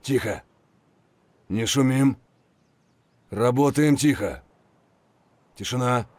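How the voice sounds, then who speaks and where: Man acting a terse, measured soldier. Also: mid-range voice, a little mellow, no room sound, lightly acted. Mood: calm, watchful. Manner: terse, controlled. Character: steady, businesslike male soldier leading a squad. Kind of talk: military game voice lines.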